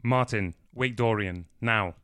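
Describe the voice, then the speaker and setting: A man voicing a neat, composed man who gives crisp, commanding orders. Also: on the low side, slow, a bit bright, dry recording, studio quality.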